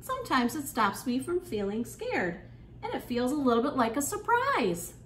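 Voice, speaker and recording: Woman reading as a gentle reassuring dad, storybook, light higher voice, echoey room. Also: big pitch swings, moderate pace, recorded from a distance. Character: caring, reassuring father of a young boy. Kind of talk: storybook read-aloud. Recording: echoey room, clear background noise.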